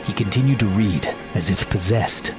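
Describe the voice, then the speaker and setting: A man voices a brooding young warrior with a very low voice, telling his past in a subdued, serious tone. It is dramatic game narration with music playing behind it. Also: animated, moderate pace, close to an everyday voice. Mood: somber.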